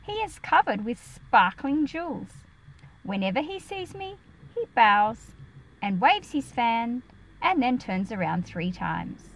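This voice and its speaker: A woman with a fairly high voice reads a picture book aloud for children. She explains as she goes, enunciating carefully like a teacher. Clear ambient noise sits behind her voice. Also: low-quality recording.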